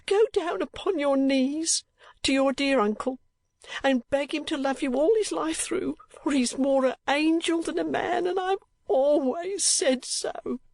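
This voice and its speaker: A man's voice: a little slow, gravelly, no room sound, full character voice. A man reading aloud, voicing an overwrought, tearful middle-aged wife and mother who gushes with emotion. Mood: gushing. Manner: shrill, tearful and effusive. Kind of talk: audiobook character voice.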